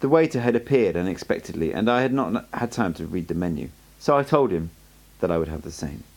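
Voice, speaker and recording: A man reading an audiobook aloud as an amateur narrator, in a steady storytelling way. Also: low-pitched, fast, gravelly. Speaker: audiobook reader.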